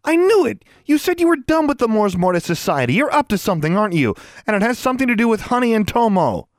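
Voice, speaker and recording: A man voicing an anxious, indignant, loyal schoolgirl witch in an audiobook, with an insistent, breathy delivery. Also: high voice, sing-song, takes it slowly, dry recording, clean studio sound, voice pushed up, heavily acted. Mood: angry, accusing.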